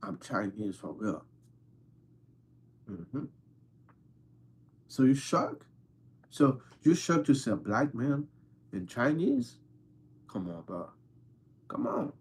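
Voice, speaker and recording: A man's voice: medium pitch, very animated, takes it slowly, pauses often, husky. A man hosting a casual livestream, answering viewers' questions in an insistent, playful way and repeating himself for emphasis. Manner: playful, teasing. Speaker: livestream host answering chat.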